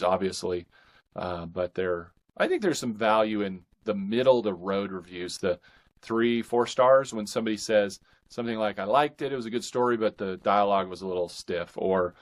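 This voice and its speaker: A man with a fairly low voice speaks as an author guest on a podcast. He chats casually, in the relaxed give-and-take of an interview.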